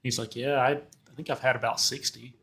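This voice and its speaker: A man's voice: mid-range voice, steady tone, brisk, bright, forceful tone. A man chatting casually as a co-host on a sports podcast. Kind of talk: casual chat.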